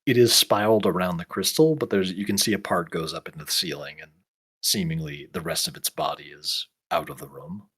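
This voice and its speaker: Low, husky-voiced game master. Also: fairly quick, fairly bright tone. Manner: casual, thinking aloud. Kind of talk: improvised tabletop game narration.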